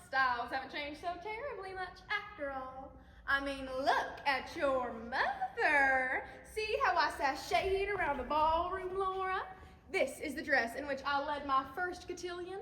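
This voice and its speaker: A woman's voice: high-pitched, pushed and bright. A woman performing a nostalgic, girlish Southern belle mother in an effusive, theatrical stage monologue. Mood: showy nostalgia. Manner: effusive, dramatic, drawn-out words. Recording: echoey hall, long reverb.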